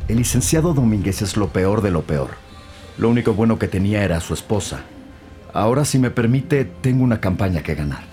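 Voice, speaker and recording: A man acting as a dismissive party official in an audio drama. He speaks in a very low voice, formal, clipped and guarded, over clearly audible music. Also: lively intonation, a little fast, warm tone, close to an everyday voice. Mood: defensive, dismissive. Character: curt, dismissive male political party official.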